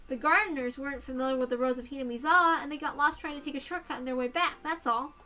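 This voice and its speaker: A woman voicing a teenage boy narrator who broods and then berates himself, her voice hoarse. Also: sits high, fast, soft background music. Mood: brooding. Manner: swings from musing to shouting at himself. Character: teenage boy narrator, jumpy and self-blaming.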